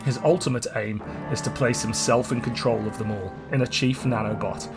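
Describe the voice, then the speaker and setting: A man hosts a podcast in a mid-pitched voice. He narrates from a script and chats casually, with guitar music clearly playing behind him. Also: fairly even intonation, moderate pace. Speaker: podcast host. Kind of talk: scripted plot synopsis and casual podcast chat.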